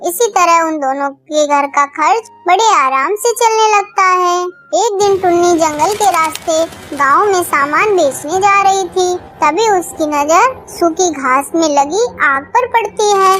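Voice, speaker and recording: A woman's voice: very high voice, expressive, a little slow, fairly bright tone. A woman narrator reading a scripted children's story.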